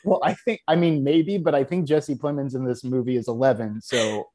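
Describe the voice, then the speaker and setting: A man's voice: mid-range voice, normal speed, dark tone. A man in his late thirties chats as a podcast guest. He is wry and a little hesitant, often restarting mid-thought before landing a dry joke. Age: 30s. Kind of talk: casual podcast chat.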